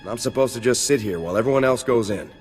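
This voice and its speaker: An actor playing a cocky, defiant trooper sergeant with a blunt, dry manner in a game cutscene. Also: male voice, mid-range voice, quick pace, soft background music, close to an everyday voice. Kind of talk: video game cutscene acting.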